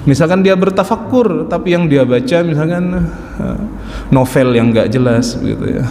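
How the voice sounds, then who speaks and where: A man in his forties giving a calm, explaining religious lecture at a mosque study circle, often adding an affirming "ya". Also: medium pitch, a little hiss or hum. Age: 40s. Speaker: religious teacher. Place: large mosque hall. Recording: echoey mosque hall with faint ambience.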